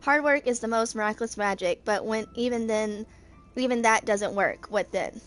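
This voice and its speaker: A woman in her twenties reading story text aloud as an amateur video creator, haltingly, with stumbles and misreadings. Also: a little high. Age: 20s.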